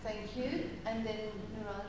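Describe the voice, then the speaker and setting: A middle-aged woman moderates as panel chair. Her voice sits a little high. It comes through a strongly echoing hall with clear background noise. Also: measured pace. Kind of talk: moderating questions. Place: lecture hall stage, seated.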